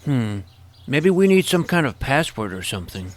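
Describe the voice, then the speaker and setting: A man's voice: medium pitch, sing-song, normal speed, natural voice. A man playing an earnest, awkward boy hero in an audio drama, casual and boyish as he tells his adventure. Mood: curious, awkward. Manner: casual, boyish, hesitant phrasing. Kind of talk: first-person scripted narration and acted dialogue.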